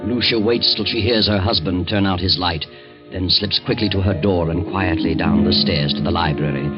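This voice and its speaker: An actor playing an ominous narrator in a 1940s radio mystery, dramatic and suspenseful. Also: male voice, low-pitched, normal speed, natural voice. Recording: dull old 1940s radio recording. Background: loud music.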